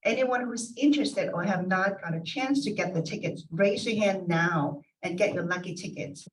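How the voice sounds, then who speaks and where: A woman's voice: mid-range voice, moderate pace. A woman emceeing an association meeting, reading announcements to the audience and sometimes stumbling over names. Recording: voice heard from a distance.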